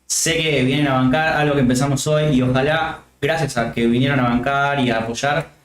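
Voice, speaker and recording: A man's voice: medium pitch, fairly quick. Argentine guy hosting a laid-back stream from home, mid voice, kinda echoey. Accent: Argentine Spanish. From Catamarca, Argentina. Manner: relaxed, thankful, joking. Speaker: stream host. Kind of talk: casual stream chat.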